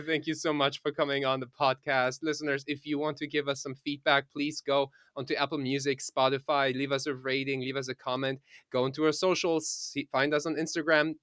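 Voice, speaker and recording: A man's voice: medium pitch, a bit bright, clear, resonant tone, dry recording, studio quality. A man with a German accent co-hosting a podcast, signing off in an upbeat, friendly way. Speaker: podcast co-host.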